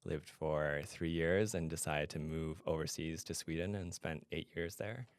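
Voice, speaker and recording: A man's voice: extremely low pitch, steady tone, unhurried, clear, resonant tone, dry recording, studio quality. A middle-aged man from Canada speaking conversationally as a guest in an interview. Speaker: podcast guest, fintech product adviser and founder.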